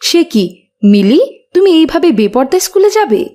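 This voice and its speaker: Woman voicing devout cartoon mother, caring, earnest, admonishing, lively intonation. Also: sits high, a little fast. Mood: dismayed, pleading. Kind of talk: Bengali moral cartoon voice acting.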